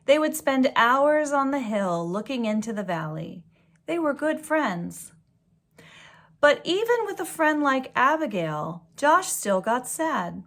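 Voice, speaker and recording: A woman reading a children's storybook aloud like a teacher, with expressive delivery and gentle asides. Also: medium pitch. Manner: slow, deliberate, expressive storytelling.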